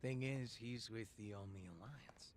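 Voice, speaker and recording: An actor playing a calm, cagey go-between in video game dialogue, measured and guarded. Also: male voice, medium pitch, natural voice. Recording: clear background ambience noise.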